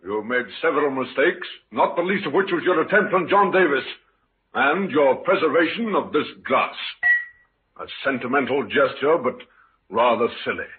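An actor playing a cool, firm police inspector, measured and dry, in vintage radio drama style. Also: male voice, mid-range voice, expressive, natural voice. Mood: firm, assured. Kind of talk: 1940s-50s radio acting. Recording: tinny old radio with faint noise.

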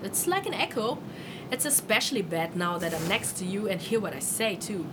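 A woman's voice: mid-range voice, lively intonation, moderate pace, close to an everyday voice. A woman playing a sarcastic, grumbling human in a fantasy audio drama, with flippant and casual modern speech. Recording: clear background noise.

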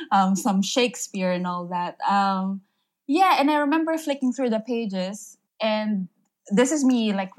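Thoughtful Filipino woman writer. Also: mid-range voice. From Philippines. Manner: thoughtful, many ums, laughs often. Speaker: guest writer. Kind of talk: casual interview chat.

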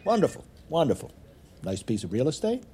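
A smug, stuffy finance boss. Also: male voice, fairly high pitch, huge pitch swings, fairly quick, dark tone, slight background noise. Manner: condescending, flustered laughs. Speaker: financial advisor. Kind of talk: audio drama acting.

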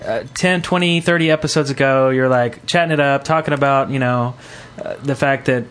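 A man's voice: mid-range voice, brisk, fairly bright tone. A man co-hosting a podcast, chatting informally and prompting the others with questions. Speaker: podcast co-host. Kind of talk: casual podcast chat.

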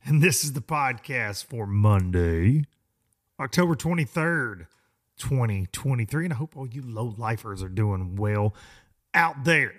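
A hoarse man with a drawl hosts a podcast. He talks at a middle pitch in a loose, folksy ramble, full of restarts. He often pokes fun at himself. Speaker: podcast host. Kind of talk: casual rambling monologue.

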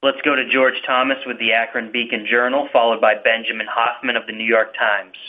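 A man's voice: mid-range voice, flat delivery, brisk. A man moderating a conference call, formally announcing who speaks next over a telephone line.

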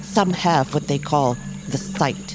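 Grave old bear grandmother, deep-toned. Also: over music, poor audio. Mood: urgent. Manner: solemn, measured, oracular. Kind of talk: audio drama acting.

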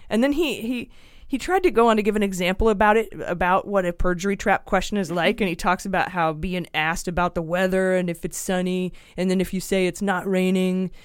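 A woman co-hosting a casual political podcast, sarcastic and quick to laugh, often restarting her sentences. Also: on the low side, fairly even intonation, smooth, no echo, clean studio sound. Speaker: podcast co-host.

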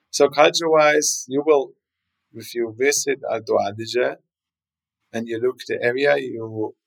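A man with a South Tyrolean German accent speaks English as a second language, his voice gravelly and sitting at a middle pitch. He hesitates and repeats words while he explains things in a podcast interview. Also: poor audio. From South Tyrol, Italy. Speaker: winery guest.

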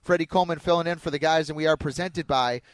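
In a very dry, close radio sound, a man with a fairly high voice hosts sports talk. He is from Denver and speaks as a fill-in presenter on the show. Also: fast talker, no echo. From Denver, United States.

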